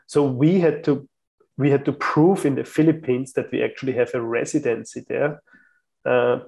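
Guy from austria on a video call, gravelly voice, stops and restarts a lot. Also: medium pitch, fairly even intonation, normal speed, darkish tone. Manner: hesitant, many restarts. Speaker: guest. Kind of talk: casual chat.

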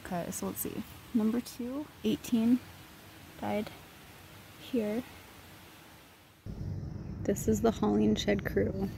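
Woman vlogging on a hike outdoors, slow and a bit gravelly, mulling over a map. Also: medium pitch, steady tone, mellow, slight background noise, rough recording. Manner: musing, pointing out spots on a map. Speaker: hiker vlogger. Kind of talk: casual on-trail commentary. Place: outdoors on a forest trail.

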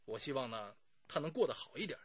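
A man's voice: mid-range voice, big pitch swings, fast, a bit bright, breathy edge, dry recording, highs cut off. An actor playing a talkative, self-justifying middle-aged man under police questioning in a radio drama, pleading and sighing as he explains. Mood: regretful.

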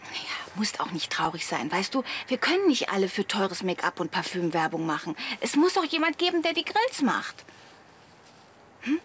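An actress playing a naive, vain, dreamy wife in a radio play, guileless and airy. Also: female voice, mid-range voice, sing-song, normal speed, a bit bright, a little hiss or hum. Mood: consoling. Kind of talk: German radio play acting.

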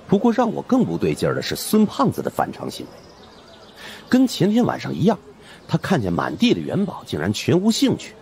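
A man's voice: mid-range voice, wildly swooping pitch, brisk, creaky, a little mellow. A man narrating a story as a dramatic scripted audiobook in the first person. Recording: faint background noise.

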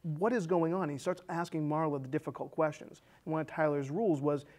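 Higher-voiced man chatting about film. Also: fairly even intonation, fast talker, darkish tone. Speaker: film discussion show co-host. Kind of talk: casual movie discussion, retelling a plot. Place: small indoor studio set with curtains.

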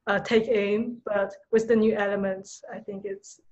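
A woman's voice: mid-range voice, steady tone, normal speed, a little mellow. A woman interviewing over a video call, speaking hesitantly with fillers. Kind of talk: casual interview questions. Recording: dull video call audio with faint noise.

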